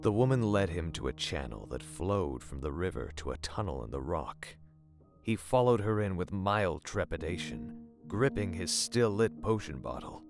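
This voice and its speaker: A male narrator reads a grim horror tale with dramatic, scripted delivery. His voice is deep, and music plays softly underneath. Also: very animated, normal speed, vocal fry.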